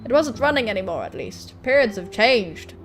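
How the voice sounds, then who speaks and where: A woman with a highish voice reads a visual novel as let's-play commentary. She drops crude, joking asides into the narration. Game music plays faintly behind her. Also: sing-song, normal speed, fairly bright tone. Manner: crude joking interjections, swearing freely. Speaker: gaming video host.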